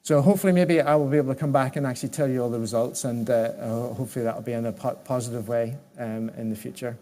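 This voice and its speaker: Halting, mellow-voiced man presenting. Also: mid-range voice, steady tone. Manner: halting, restarts words and phrases often. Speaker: technology executive presenting at a conference. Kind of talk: conference presentation with audience questions.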